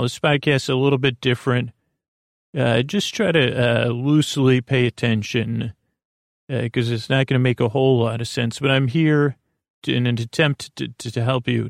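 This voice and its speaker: A man with a creaky, gravelly voice hosts a sleep podcast, giving a soothing, meandering bedtime monologue with hesitant, repeating, lulling speech close to the microphone. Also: medium pitch, dry recording. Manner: meandering, hesitant, repeats and stumbles over words; lulling, gentle, drawn-out delivery.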